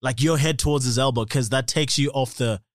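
A man's voice: medium pitch, a little fast, no echo, studio quality. A man co-hosting a podcast in casual chat, rambling, swearing and laughing often. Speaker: podcast co-host. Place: podcast studio.

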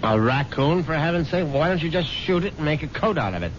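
A man's voice: fairly high pitch, expressive, brisk, a little hiss or hum, lightly acted. An actor playing a smug, urbane rich widower who narrates his own scheme with dry irony in a 1970s radio drama. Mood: irritated. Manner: self-satisfied, urbane, drily ironic. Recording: muffled vintage radio broadcast, loud music behind.

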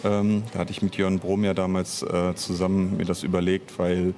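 A man's voice: low-pitched, completely flat delivery, moderate pace, dark tone, a little hiss or hum. A man speaking as an interview guest in casual conversation, searching for words as he explains at length. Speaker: interview guest, audio drama author and director.

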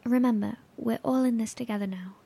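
An actress playing a compassionate, sombre woman broadcaster in a horror audio drama, speaking softly and breathily, with gentle hesitation. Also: on the high side, fairly quick, gravelly, breathy edge.

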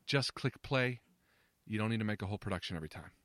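A man acting in an audio drama as a probing, slightly impatient confidant with a measured, questioning, dry manner. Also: on the low side, animated, noisy background, natural voice.

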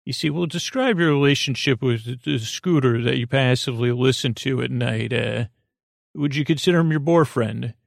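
A man with a creaky, gravelly voice hosting a sleep podcast in a meandering, halting, goofy monologue that keeps interrupting itself. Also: medium pitch, dry recording, clean studio sound. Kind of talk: rambling bedtime monologue.

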